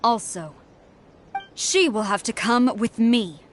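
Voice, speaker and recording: A woman's voice: a little high, lively intonation, slow, a little hiss or hum. A woman playing a proud, stern and loyal warrior in a video game, with formal, firm delivery.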